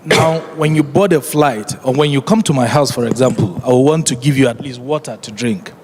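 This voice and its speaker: A man's voice: medium pitch, brisk. A man making formal but unscripted remarks to a conference, courteous and hesitant as he feels his way through the point. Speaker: airline executive adding a point at a conference. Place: conference hall. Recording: noisy conference hall recording.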